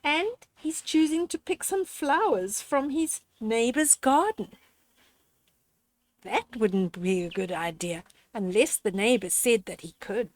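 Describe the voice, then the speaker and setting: An older woman reads a children's storybook aloud with very lively, swooping intonation. She takes her time, pausing deliberately and speaking straight to the young listener in a gently instructive way. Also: on the high side. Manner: expressive, with deliberate pauses and dramatic gasps.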